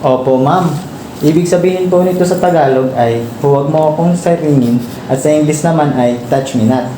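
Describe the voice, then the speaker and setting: A man's voice: a little high, moderate pace, mellow, natural voice. A young Filipino man playing a polite, attentive student reciting to a teacher in a student radio drama. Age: young adult. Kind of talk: scripted recitation. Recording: echoey room, faint noise.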